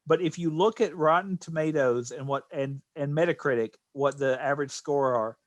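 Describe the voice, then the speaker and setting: Middle-aged male podcaster, slightly high register, conversational delivery, close dry sound. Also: normal speed, no room sound. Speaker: podcast co-host. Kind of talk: casual podcast discussion.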